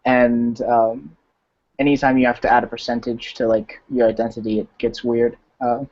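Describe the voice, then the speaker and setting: An eighteen-year-old man with an American accent, sharing personally in a halting, reflective way over a video call. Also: mid-range voice, flat delivery, a little mellow. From Arizona, United States. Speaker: discussion participant, college student. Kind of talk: casual personal sharing in online discussion. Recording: dull, lo-fi video-call audio.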